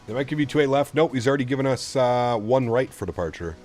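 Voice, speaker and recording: A man's voice: mid-range voice, lively intonation, quick pace, darkish tone, faint music behind. Chatty man thinking aloud to his viewers. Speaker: flight sim streamer. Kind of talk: casual live-stream commentary while programming a flight computer.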